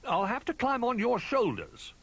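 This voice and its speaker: A man voicing a small, eager, adventurous young toy bear in a children's storybook, excitable and full of exclamation. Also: very high voice, moderate pace, husky, pitched above the performer's own voice, full character voice.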